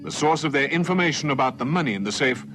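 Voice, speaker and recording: A man giving measured, formal narration for a radio crime drama. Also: fairly high pitch, expressive, over loud music, natural voice. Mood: measured, sober. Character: sober male crime-story narrator.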